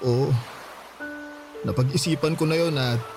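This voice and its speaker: An actor playing a gentle, self-sacrificing young student boyfriend, earnest and sorrowful, in a Tagalog radio drama. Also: male voice, a little high, a little slow, gravelly, music in the background. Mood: sad, resigned. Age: young adult. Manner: soft-hearted, earnest, sorrowful.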